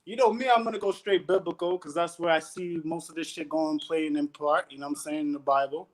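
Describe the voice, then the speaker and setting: A man joining a casual panel talk as a guest, animated and slangy, preaching his views and asking "you know what I'm saying" again and again. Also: on the high side, fairly quick, poor audio.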